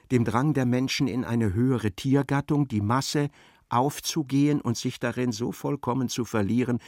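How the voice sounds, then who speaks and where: A man narrates literary prose for a radio drama. His mid-pitched voice has a gravelly fry, and his delivery is measured, precise and dramatic. The sound is very dry and close. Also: darkish tone, no echo.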